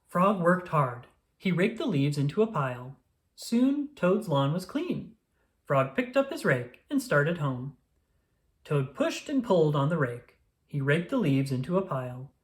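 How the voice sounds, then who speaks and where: A narrator reading a children's story aloud in an expressive, scripted read-aloud style. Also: mid-range voice, unhurried.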